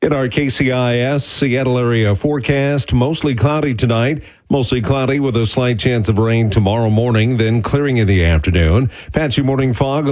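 A man reads the news and weather as a radio anchor in a steady, announcer-style delivery. His pitch is average for a man. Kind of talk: scripted news read.

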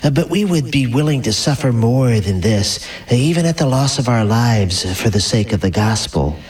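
A man playing a calm, earnest apostle in a radio drama, speaking in a measured way. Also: mid-range voice, far from the mic, close to an everyday voice.

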